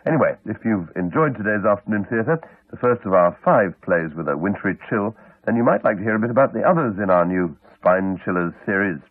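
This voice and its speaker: A British man making scripted radio continuity announcements in a measured, formal way. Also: fairly low pitch, expressive, dry recording. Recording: dull 1980s radio broadcast, dry, faint music.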